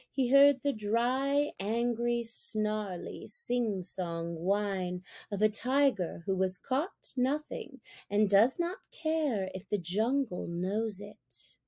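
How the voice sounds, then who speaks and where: A woman narrating a classic story as an audiobook reader, with deliberate, expressive phrasing. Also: medium pitch.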